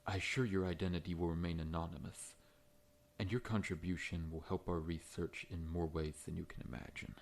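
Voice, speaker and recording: A man playing a large, friendly scorpion monster dentist in an ASMR roleplay, talking in a gentle, reassuring, professional way. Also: sits low, steady tone, brisk, creaky, a little hiss or hum. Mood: calm, professional.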